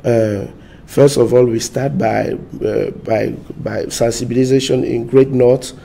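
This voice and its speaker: Halting gravelly man. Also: medium pitch, steady tone, warm tone, a little hiss or hum. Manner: halting, repeats words, searches for English. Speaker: party spokesman. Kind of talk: studio interview answers.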